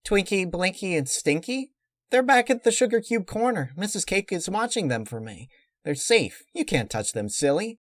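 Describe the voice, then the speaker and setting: A man acts out a naive, bubbly girl pony from a cartoon. The voice jumps high and exclaims like a stubborn child, recorded very dry in a dark fan-story reading. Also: big pitch swings, normal speed, dry recording. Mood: defiant, cheerful.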